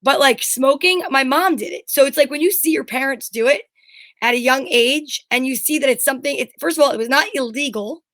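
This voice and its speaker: A woman's voice: high-pitched, a little fast, a bit bright, dry recording. A middle-aged woman co-hosting a talk show, chatting casually in a blunt, candid, rambling storytelling way. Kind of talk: casual chat.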